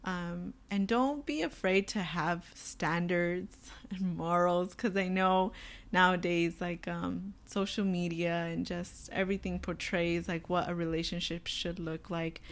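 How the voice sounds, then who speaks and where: Young woman, raspy voice, somewhat low pitch, chatty and earnest, faith podcast co-host. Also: fairly even intonation, unhurried. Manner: chatty, many filler words, earnest.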